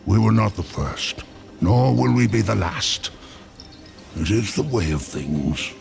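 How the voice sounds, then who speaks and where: Creepy sly demon merchant for a game, slow n gravelly, theatrical, darkly amused. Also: male voice, lowish voice, lively intonation, over loud music, full character voice. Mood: wistful, ominous. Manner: unhurried, theatrical, mock-humble, darkly amused. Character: sly, cryptic demon merchant, last of a lost people. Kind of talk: video game voice acting.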